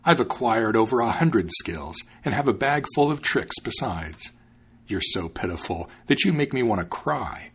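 A man's voice: fairly low pitch, expressive, normal speed, a bit bright, slight background noise. A man doing an arrogant sneering fox. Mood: scornful. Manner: sneering, haughty. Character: arrogant scornful male fox.

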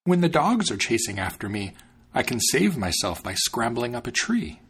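A man voicing a modest, friendly female cat in a storybook reading, pitched up slightly, with a husky voice. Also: very animated, normal speed, fairly bright tone, heavily acted. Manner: polite, sweet.

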